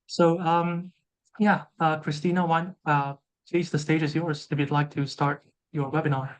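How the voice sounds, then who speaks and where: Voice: medium pitch, little pitch movement, normal speed, rough recording. A webinar host from Hong Kong introducing a guest and reading out the chat, hesitant, with many fillers.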